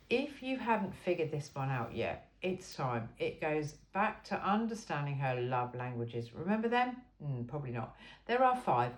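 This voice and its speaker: Woman reading aloud with very lively intonation. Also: low-pitched. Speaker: amateur reader of a book aloud. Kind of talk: read-aloud of an informal self-help book.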